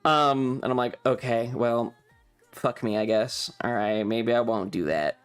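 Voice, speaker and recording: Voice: medium pitch, measured pace, clean tone. A streamer of ambiguous gender telling a casual, rambling, exasperated story in a slightly worn-out voice. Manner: rambling, exasperated, acts out quoted dialogue. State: voice slightly going. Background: background music.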